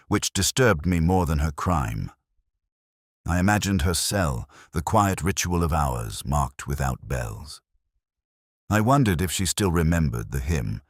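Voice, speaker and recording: A man narrating as a melancholy, world-weary police inspector, in a measured, literary and solemn way. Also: extremely low pitch, no echo, studio quality, exaggerated voice. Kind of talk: scripted first-person detective narration.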